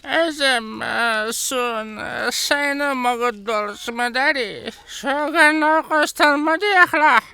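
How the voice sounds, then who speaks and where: Female cartoon role, played by a woman: unhurried, drawn-out, crisp and fairly high. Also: bright, forceful tone, exaggerated voice. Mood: measured. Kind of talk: animated cartoon dubbing.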